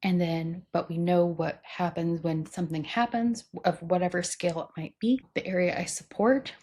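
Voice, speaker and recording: A young woman co-hosting a casual podcast in a relaxed, plainspoken way, on a studio mic indoors. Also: on the low side, moderate pace. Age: young adult. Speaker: podcast co-host. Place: indoor room with patterned wallpaper. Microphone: studio mic on stand, wearing headphones.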